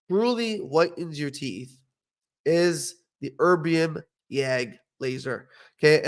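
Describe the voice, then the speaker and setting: A young man who studied dentistry, hosting a casual podcast and giving blunt, opinionated advice with frequent "okay?" check-ins. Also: a little high. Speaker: podcast host, dental professional. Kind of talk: casual educational podcast talk.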